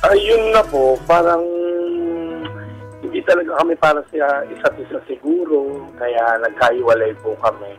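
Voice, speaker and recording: A middle-aged man with a Filipino accent and a husky voice, telling his personal story haltingly and candidly in a radio interview. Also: fairly high pitch, rough recording. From Philippines. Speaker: guest telling his life story, a stage performer. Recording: radio show with music bed. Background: background music.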